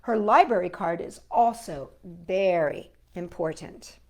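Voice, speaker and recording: A woman's voice: on the low side, unhurried. A woman hosting a children's storytime, reading a picture book expressively and chatting to young listeners in asides.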